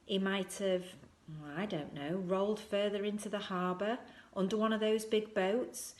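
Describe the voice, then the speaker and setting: A woman's voice: medium pitch, breathy edge. A woman narrating a children's story aloud and acting out the dialogue between characters. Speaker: teacher reading aloud.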